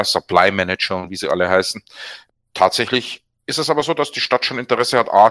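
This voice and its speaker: A man's voice: on the low side, fairly bright tone, no echo, clean studio sound. A man co-hosting a casual podcast chat, talking in a chatty, opinionated and wry way. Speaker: podcast co-host.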